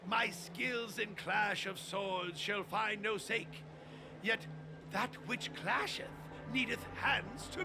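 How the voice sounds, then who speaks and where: An actor playing an elderly, courteous artisan master in a video game, speaking in formal, old-fashioned, theatrical phrases. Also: male voice, sits high, gravelly, full character voice.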